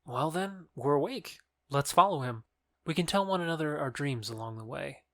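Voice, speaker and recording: A man's voice: on the high side, lively intonation, moderate pace, sharp tone, dry recording, studio quality. A man playing an earnest, lovestruck young nobleman in a Shakespeare audio drama, declaiming sincerely. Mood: puzzled.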